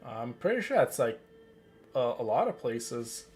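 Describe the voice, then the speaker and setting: A man's voice: mid-range voice, big pitch swings, measured pace, a little mellow, husky, faint music behind. A man from Canada chatting casually as a streamer, joking and rambling over gameplay.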